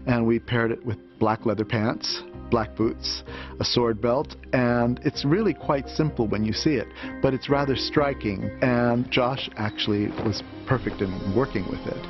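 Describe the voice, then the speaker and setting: A man's voice: mid-range voice, steady tone, a little slow. A man giving an interview as a costume designer, recounting his work. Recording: dull sound under clear music.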